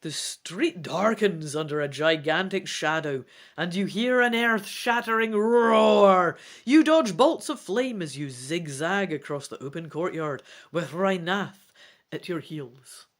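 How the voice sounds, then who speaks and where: A woman's voice: fairly low pitch, expressive, takes it slowly. A woman hosting a gamebook playthrough, reading dramatically and adding informal, self-deprecating asides. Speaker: host of a gamebook let's play.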